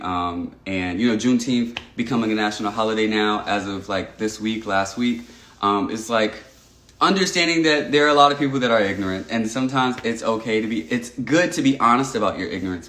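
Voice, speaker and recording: A man's voice: fairly low pitch, a bit bright. Earnest young man in an echoey room. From Texas. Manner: earnest and reflective, frequent "um" and restarts. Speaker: livestream host. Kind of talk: casual livestream chat reading comments.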